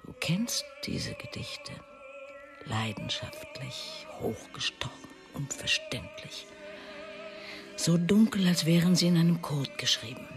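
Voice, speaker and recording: An actress playing a bitter, wounded middle-aged mother who speaks of her estranged son in an intense radio drama monologue, moving between hushed and emphatic delivery. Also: fairly low pitch, heavily acted. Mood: anguished.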